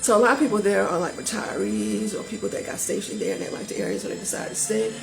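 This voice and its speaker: A woman's voice: medium pitch, big pitch swings, fast talker, gravelly, low-quality recording. A woman raised in Georgia with a Southern accent, chatting casually on her vlog and rambling with lots of restarts. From born in Maryland, raised in Georgia. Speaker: vlogger. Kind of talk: casual chat to camera. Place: visiting a home in California. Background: music playing in the background.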